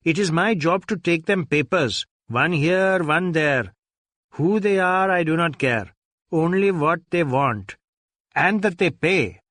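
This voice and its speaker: A man performs a poor Indian newspaper seller in a detective audiobook. He is tired, stubborn and fearful, with a raised pitch. His grudging answers come short and evasive, in a close, dry recording. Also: animated, takes it slowly, fairly bright tone, no room sound. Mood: sullen, indifferent. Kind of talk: audiobook character dialogue.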